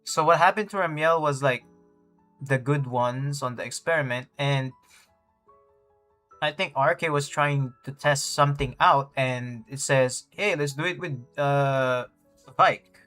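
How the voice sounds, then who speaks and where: Casual, halting male game streamer. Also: mid-range voice, sharp tone. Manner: halting, slangy, thinking aloud. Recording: game music behind the voice.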